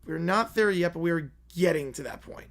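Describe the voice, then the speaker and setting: A man with a worn, hoarse voice calling college football play-by-play live on a stream, talking to chat between plays. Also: fairly high pitch, brisk. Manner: excited, rising commentary on big plays, quieter asides to chat.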